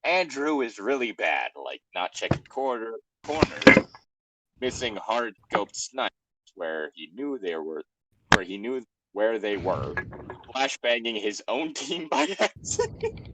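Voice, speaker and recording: A man's voice: on the low side, big pitch swings, slow pace, heavy background noise. A man in a casual group chat, reading a story aloud with sweary, self-deprecating asides.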